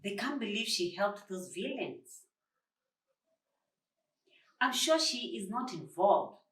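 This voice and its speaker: A woman's voice: mid-range voice, very animated, takes it slowly, a bit bright. A woman reading the part of an indignant, protective uncle in a children's storybook, with emphatic protest. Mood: reassuring, indignant. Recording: roomy, distant microphone.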